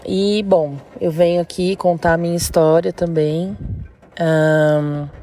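Mellow-voiced Brazilian woman telling a story. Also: fairly low pitch, fairly even intonation, slow. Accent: Brazilian, São Paulo state. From interior of São Paulo state, Brazil. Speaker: listener caller telling a personal story. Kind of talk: casual spoken storytelling.

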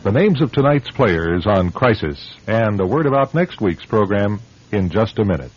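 A man's voice: lowish voice, expressive, moderate pace, slightly rough. A man announcing scripted credits for a radio drama. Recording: dull lo-fi radio recording. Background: music.

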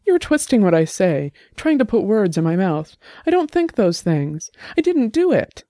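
A narrator voicing a nervous, defensive man whose voice rises high and shaky, near tears. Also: very animated, moderate pace, dark tone, no echo, clean studio sound. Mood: defensive, shrill.

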